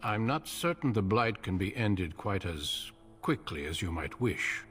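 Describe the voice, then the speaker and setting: An actor playing a grave veteran warrior in a fantasy video game, measured, solemn and formal with his king. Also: on the low side, sing-song, fairly bright tone, slight background noise. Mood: grave, concerned.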